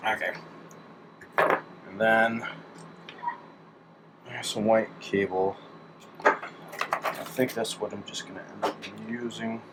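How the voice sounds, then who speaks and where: A man's voice: fairly low pitch, fairly even intonation, slow pace, long pauses. A man casually talking through hands-on DIY work as he goes, thinking aloud in an informal way. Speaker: DIY electronics hobbyist. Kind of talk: casual hands-on narration while working. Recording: clearly noisy hands-on workshop recording.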